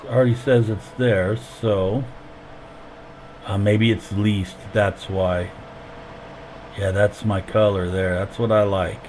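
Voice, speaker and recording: A man's voice: fairly low pitch, steady tone, takes it slowly, pauses often, darkish tone, a little hiss or hum, low-quality recording. Sick male streamer on a headset. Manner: explains steps as he plays. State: sick, losing his voice. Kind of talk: casual gameplay commentary.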